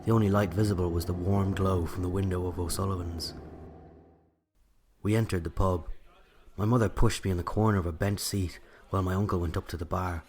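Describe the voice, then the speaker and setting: A man's voice: low voice, fairly even intonation, a little fast, a little mellow, a little hiss or hum. A man with an Irish accent narrating a scripted first-person story.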